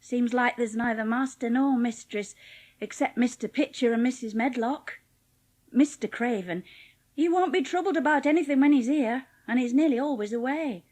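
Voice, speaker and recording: A woman's voice: a little high, moderate pace, darkish tone, natural voice. A woman playing a cheerful, plain-spoken young housemaid with a Yorkshire accent in a dramatised audiobook. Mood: chatty, frank. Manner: chatty, blunt, homely dialect speech.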